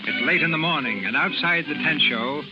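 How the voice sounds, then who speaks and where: A man's voice: fairly high pitch, fairly quick. A man narrating a radio drama as a 1930s announcer, in scripted style. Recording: muffled old radio with loud music.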